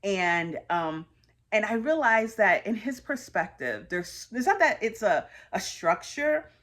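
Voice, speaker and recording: Thirtysomething american woman just talking it out, earnest, lots of ums, mid-pitched voice. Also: big pitch swings, unhurried, a bit bright. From United States. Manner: earnest, conversational, with frequent fillers. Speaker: host sharing personal reflections. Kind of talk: reflective personal monologue.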